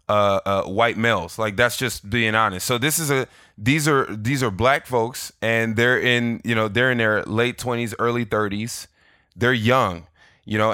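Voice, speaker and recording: A man introducing a podcast episode, candid and animated. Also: lowish voice, smooth, no echo. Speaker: podcast host. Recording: dry close mic, faint music. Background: faint music.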